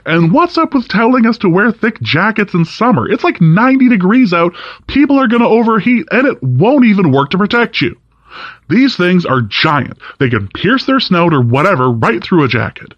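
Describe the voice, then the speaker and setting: A man's voice: high-pitched, big pitch swings, normal speed, no echo, highs cut off. An actor playing an indignant, skeptical, emphatic male talk radio host in an audio drama. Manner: emphatic, opinionated. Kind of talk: talk radio monologue acting.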